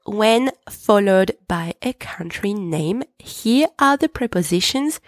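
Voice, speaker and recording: A woman hosting a podcast lesson, patiently explaining and repeating French examples slowly. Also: mid-range voice, expressive, no echo, studio quality. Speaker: French teacher, podcast host.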